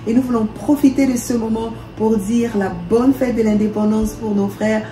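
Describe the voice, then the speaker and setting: A woman's voice: a little high, steady tone, moderate pace. A woman from DR Congo, a minister, leading prayer with fervent, repetitive declarations. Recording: echoey room with soft music.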